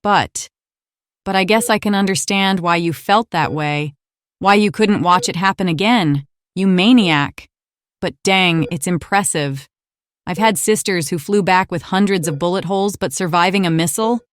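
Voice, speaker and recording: A woman's voice: fairly low pitch, normal speed, slight background noise, lightly acted. Woman acting a confident, teasing warplane gal. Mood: fond, teasing. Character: plucky, affectionate older-sisterly female warplane. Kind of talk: audio drama acting.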